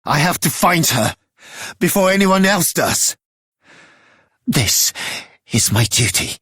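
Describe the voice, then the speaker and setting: A man playing a sorrowful, devoted protector in a video game, husky and tenderly earnest. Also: fairly high pitch, lively intonation, slow, fairly bright tone, no echo, studio quality, full character voice. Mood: worried, devoted. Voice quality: husky, breathy. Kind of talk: dramatic video game voice acting.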